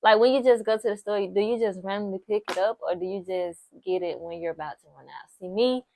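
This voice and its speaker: A woman's voice: medium pitch, fast talker, vocal fry, dark tone, a little hiss or hum, low-quality recording. A nineteen-year-old woman vlogging casual advice to camera, chatty and encouraging, repeating points for emphasis. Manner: chatty, repeats herself for emphasis, lots of "like" and "so, yes"; encouraging, speaks straight to the viewer.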